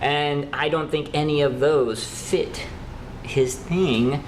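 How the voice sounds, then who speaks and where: A man co-hosting a casual news chat, animated and playfully opinionated. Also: a little high, takes it slowly. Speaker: co-host of a horror news show. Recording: roomy echo with faint noise.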